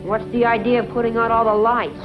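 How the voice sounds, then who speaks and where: Woman as young western kid, raised pitch, stubborn and distrustful, muffled old film recording. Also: flat delivery, a little fast, natural voice. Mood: anxious. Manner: suspicious, stubborn. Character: defiant young boy in a western. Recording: muffled vintage film soundtrack, music underneath.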